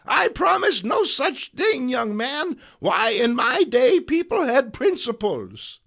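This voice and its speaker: A man's voice: very high-pitched, wildly swooping pitch, slow, gravelly, fairly bright tone, voice pushed up, full character voice. A man voicing a feisty, indignant old woman crusader in a theatrical, emphatic comic book reading. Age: elderly.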